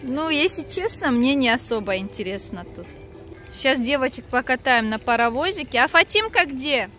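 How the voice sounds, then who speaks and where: Young mother vlogging on a phone in a park, high voice, chatty and quick to laugh; from Dagestan. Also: animated, sharp tone, soft background music. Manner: chatty, laughs often, talks to viewers. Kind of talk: casual family vlog chat. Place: outdoor park, later inside a car.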